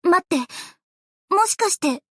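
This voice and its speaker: A woman playing an earnest, bubbly, easily flustered young female idol in an anime game, with a cute, expressive, girlish delivery. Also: extremely high pitch, unhurried, dry recording, clean studio sound, full character voice. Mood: anxious, panicked. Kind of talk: anime game character voice lines.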